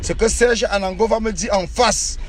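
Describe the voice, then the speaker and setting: A man's voice: high voice, moderate pace. A man from Cameroon livestreaming while driving through the city, boastful and defiant, repeating himself, on a phone mic. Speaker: live streamer. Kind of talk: live stream while driving. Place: inside a car in the city.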